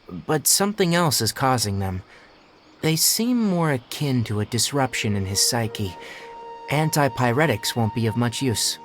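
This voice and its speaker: A man's voice: mid-range voice, natural voice. A man playing a composed, blunt young forest ranger and healer in a game, explaining things in an articulate, matter-of-fact way. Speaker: forest watcher. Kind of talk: English game dub dialogue.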